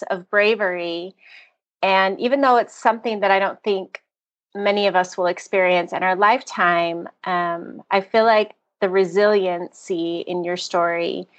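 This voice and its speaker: A woman's voice: medium pitch, highs cut off. Effusive American woman podcast host. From United States. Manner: warm, effusive, chatty. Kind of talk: casual interview chat.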